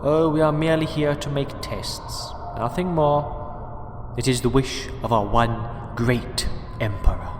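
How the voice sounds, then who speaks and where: An actor playing a calm, sinister male doctor in an audio drama, polite, condescending and quietly menacing toward his captive. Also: medium pitch, a little slow, natural voice. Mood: cold, threatening. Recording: echoey reverberant room with clear noise.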